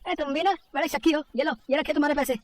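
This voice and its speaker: Someone doing an excitable young guy in a cartoon, high voice, talks really fast, chatty and good-hearted. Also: lightly acted. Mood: breezy, confident. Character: eager chatty good-hearted young male lottery customer. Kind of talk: animated Hindi moral story dialogue.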